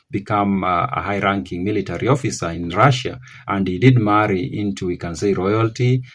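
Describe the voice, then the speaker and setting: A man hosting a history channel, talking it through off the cuff, often stopping to correct himself. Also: fairly low pitch. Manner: rambling, self-correcting, thinking aloud. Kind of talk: unscripted history talk to camera.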